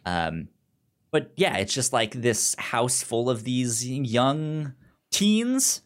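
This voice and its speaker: Slow-talking man with a pronounced stutter. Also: mid-range voice, very animated. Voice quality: pronounced stutter, repeated sounds and syllables. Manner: halting, hesitant, informal. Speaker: podcast co-host. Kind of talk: casual chat, pitching shows to a co-host.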